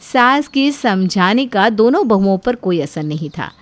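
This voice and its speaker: A woman's voice: mid-range voice, sing-song, measured pace, no echo. A woman narrator reading a scripted family story.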